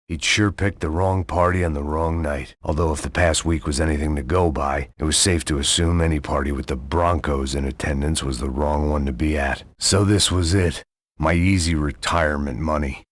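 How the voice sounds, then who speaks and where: A gravelly, sardonic middle-aged bodyguard from a noir video game, tired of it all and wisecracking through the gunfire. The voice is very low and husky, close and dry, with no room around it. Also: male voice, no room sound. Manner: dry wisecracks, bleak inner monologue. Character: world-weary middle-aged male bodyguard, ex-cop.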